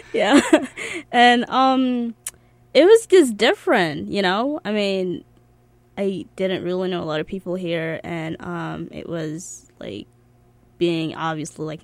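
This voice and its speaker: A young woman from Egypt whose first language is Arabic, chatting casually as an interview guest in an informal, giggly way peppered with "like". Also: on the low side. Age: young adult. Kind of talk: casual chat.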